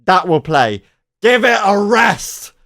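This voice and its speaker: A man's voice: sits high, sing-song, slow, fairly bright tone. A man doing casual live reaction commentary, exclamatory, mocking and jokey, often repeating his words for effect. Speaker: streamer reacting to videos.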